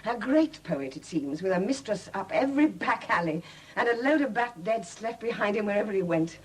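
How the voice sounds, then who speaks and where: Young widow, husky, proud and wry with a bitter edge, vintage radio drama. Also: female voice, medium pitch, expressive, normal speed, rough recording. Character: proud, bitter young widow, pregnant, stubbornly self-reliant. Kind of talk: 1970s radio drama acting. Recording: dated 1970s radio drama recording.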